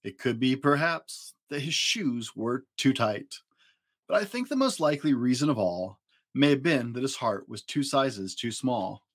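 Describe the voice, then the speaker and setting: Male storyteller, forties, mid-pitched and very animated, playful dramatic emphasis for young listeners. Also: measured pace. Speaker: dad storyteller, host. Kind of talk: expressive children's storybook reading. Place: small indoor home studio room.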